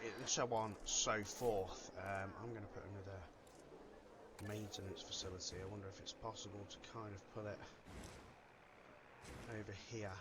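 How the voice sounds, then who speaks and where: A man's voice: fairly low pitch, steady tone, normal speed, heavy background noise. A man giving casual playthrough commentary as a gaming video host, hesitantly thinking aloud. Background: game music.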